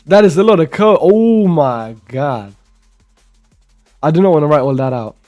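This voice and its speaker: A man's voice: fairly high pitch, lively intonation, moderate pace, darkish tone, faint music behind. A young man from Romania, casually commenting while live coding and muttering code aloud as he types, with chatty asides. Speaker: programming streamer.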